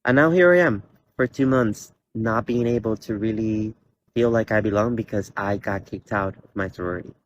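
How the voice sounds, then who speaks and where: A young man in his twenties from Costa Rica gives an earnest, halting personal statement with many fillers and restarts, standing in a large indoor theater. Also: on the low side, lo-fi sound, a little hiss or hum. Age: 20s. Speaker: college student.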